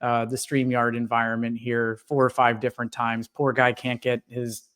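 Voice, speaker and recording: A man hosting a fan podcast, welcoming a guest in a relaxed, filler-heavy way. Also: medium pitch, moderate pace, clean tone. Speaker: podcast host.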